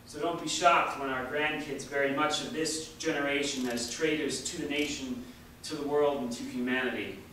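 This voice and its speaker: Echoey, forceful man reciting a political speech. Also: mid-range voice, steady tone. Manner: emphatic, rhetorical repetition with deliberate pauses. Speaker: reader at a memorial staged reading. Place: large echoey indoor hall, distant microphone. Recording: echoey hall from far off, faint noise.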